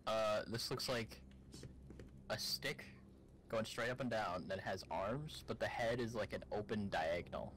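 Low-voiced man in casual gaming chat. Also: measured pace, a bit bright, a little hiss or hum. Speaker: co-op game partner. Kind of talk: casual chat, describing puzzle symbols.

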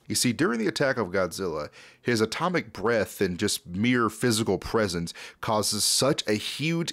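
A young man with a fairly low voice narrates a horror series recap as a video host. His delivery is scripted yet conversational and casual. He is recorded close on a desk mic in a small room, with a dry sound. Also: no echo. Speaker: YouTube host. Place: small dim home room, indoors. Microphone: close desk microphone.

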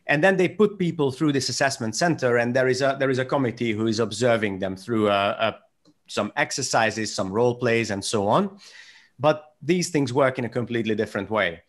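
A man in his forties from Hungary with a Hungarian accent, hosting a video call conversation in a measured, thoughtful way from his home office. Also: medium pitch. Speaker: host. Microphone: desk mic on video call.

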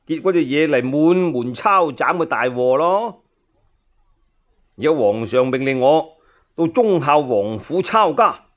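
Animated Cantonese storyteller, higher-pitched man. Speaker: solo storyteller. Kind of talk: dramatic narration of a historical novel. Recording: dull lo-fi radio recording.

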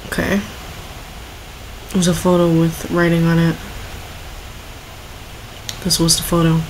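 A woman's voice: on the low side, monotone, slow pace. Woman reacting casually, noisy background. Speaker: reaction video host. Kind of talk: casual reaction commentary, reading text aloud.

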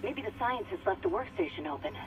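Practical technician over muffled radio. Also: female voice, medium pitch, expressive, a little fast. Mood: concerned, focused. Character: alert, practical female crew technician on radio. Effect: radio comms filter. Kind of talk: naturalistic video game acting. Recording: dull radio link, faint noise.

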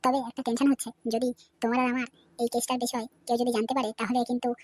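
A woman's voice: on the high side, little pitch movement, rapid-fire, no echo, natural voice. A woman voicing a crude, cheeky and cowardly young village man in a comedy cartoon, full of slang. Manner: crude slang, pleading when caught. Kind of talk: Bengali comedy cartoon dubbing. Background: background music.

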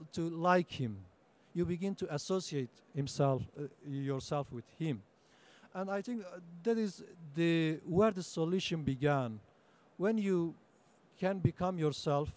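A young man whose first language is Kurdish, speaking at a book-fair panel into a table microphone, halting with many fillers and restarts. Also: high-pitched, a little mellow. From Turkey (Kurdish). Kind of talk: panel discussion talk. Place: conference room at a book fair.

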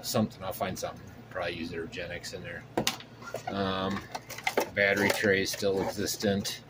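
Male hobby host, lowish voice, loose rambling workshop talk, loud music bed underneath. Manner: rambling, thinking aloud with many fillers. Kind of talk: casual workbench show-and-tell. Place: indoor home workshop bench.

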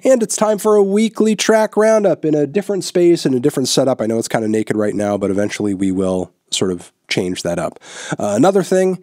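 A man's voice: medium pitch, brisk, no room sound. A man in his thirties with a stuffy nose, hosting a casual music review with blunt opinions and lots of filler words, on a studio mic in a small room. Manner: relaxed, frequent filler words, blunt verdicts. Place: small indoor room, newly set up. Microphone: large studio microphone on boom in front of him.

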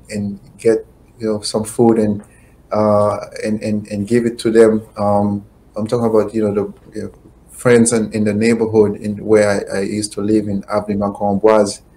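Middle-aged man, low voice, very slow halting delivery with lots of uh's, webcam call from a home office. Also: darkish tone, slight background noise, low-quality recording. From Haiti. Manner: slow, halting, many fillers. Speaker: guest, attorney. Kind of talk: reflective interview answers. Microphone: webcam video call.

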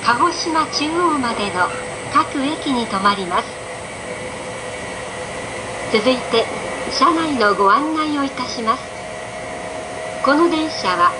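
A woman with a high voice reads a scripted train announcement. Her delivery is formal, polite and measured. She comes over a carriage loudspeaker, with heavy train rumble all around. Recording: train cabin PA under loud rail noise. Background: loud train rumble.

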